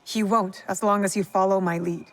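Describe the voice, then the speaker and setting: A woman's voice: medium pitch, fairly even intonation, moderate pace, lightly acted. An actress playing a protective, commanding young woman warrior in a video game, speaking in a firm, serious way. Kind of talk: cinematic video game acting.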